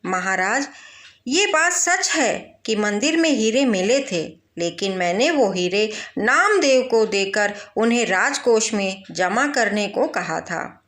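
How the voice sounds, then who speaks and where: A woman's voice: medium pitch, lively intonation, slow pace, fairly bright tone. A woman voicing a scheming wealthy master in a storybook tale, deferential and self-justifying. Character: scheming wealthy master, male, defending himself. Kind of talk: storybook character voice.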